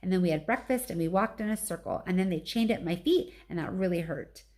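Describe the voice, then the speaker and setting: A woman's voice: on the low side, expressive, a little fast, a little mellow, close to an everyday voice. A woman voicing an eager, curious young female baby elephant in a storybook, chatty and full of breathless questions. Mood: bored, chatty.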